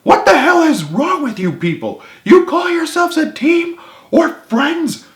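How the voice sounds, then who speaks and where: A man voicing a hot-headed, embittered young male team leader in an emphatic shouted tirade for a story. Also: extremely high pitch, very animated, unhurried, voice pushed up, exaggerated voice. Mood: furious, hurt. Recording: echoey room.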